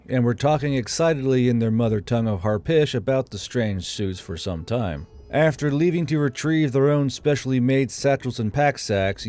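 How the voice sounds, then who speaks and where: A man reading a scripted fantasy story aloud as an audiobook narrator. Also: mid-range voice. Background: soft background music.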